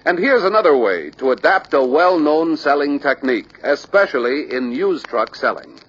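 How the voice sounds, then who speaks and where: A man reading scripted instructional narration for a sales training film, deliberate and emphatic. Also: fairly high pitch, big pitch swings, breathy edge. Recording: dull lo-fi old training film soundtrack.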